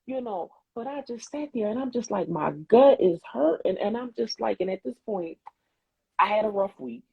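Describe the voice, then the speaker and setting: A woman's voice: mid-range voice, normal speed, gravelly, breathy edge, no echo. A woman, a therapist, telling stories in casual podcast chat, swearing freely and acting out what others said. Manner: animated storytelling, swears freely, acts out quotes. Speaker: podcast co-host; therapist and CPR instructor. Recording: tinny phone-like line, dry.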